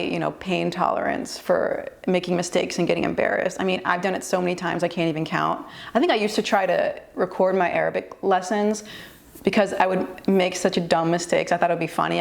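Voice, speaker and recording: A woman's voice: on the low side, gravelly. A young woman, a native English speaker, giving candid beginner tips in a chatty vlog, laughing easily and using many fillers. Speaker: vlogger and language tutor. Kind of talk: casual advice vlog.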